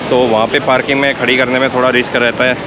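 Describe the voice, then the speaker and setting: A man's voice: medium pitch, flat delivery, racing. A middle-aged man casually narrating a travel vlog. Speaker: motorcycle travel vlogger. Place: outdoors, on a motorbike and at a riverside tourist spot. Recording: noisy outdoor action-camera sound.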